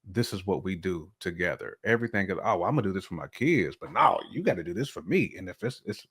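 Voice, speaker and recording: A man in his forties co-hosting a talk show, giving casual opinions in colloquial, halting speech that often repeats words. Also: sits low, lively intonation, a bit bright, husky. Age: 40s.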